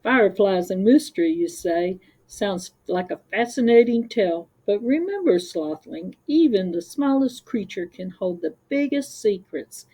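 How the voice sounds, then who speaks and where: A woman voicing a wise, relaxed father sloth in a storybook, with a gentle, unhurried murmur. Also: mid-range voice, husky, poor audio. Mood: gentle, encouraging.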